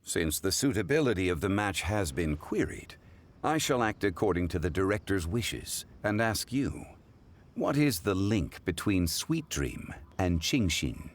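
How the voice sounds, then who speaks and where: A man voicing a calm, learned judge who speaks in a measured, formal way, in a game cutscene. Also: sits low, exaggerated voice. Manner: measured, formal, precise diction.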